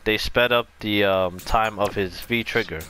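Rambling low-voiced man explaining gameplay. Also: a little slow, sharp tone, a little hiss or hum. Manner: rambling, self-correcting. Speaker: video game tutorial creator. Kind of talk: casual unscripted gameplay explanation.

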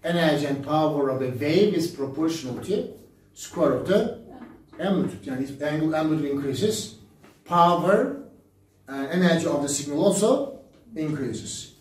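A man's voice: fairly high pitch, animated, normal speed, slight background noise. Older Turkish-accented man lecturing in echoey room. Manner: repetitive, step-by-step explaining in short phrases. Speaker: physics teacher. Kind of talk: classroom lecture explanation. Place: small indoor classroom at a smartboard. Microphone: camera several metres away. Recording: very echoey room, distant microphone.

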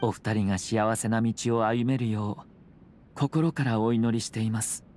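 An actor playing a polite young clan head in an anime game, speaking in a measured, formal way. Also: male voice, on the low side, fairly even intonation, a little mellow, natural voice. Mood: gracious. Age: young adult. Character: composed, courteous young noble clan head. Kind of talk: Japanese anime game voice acting. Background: music.

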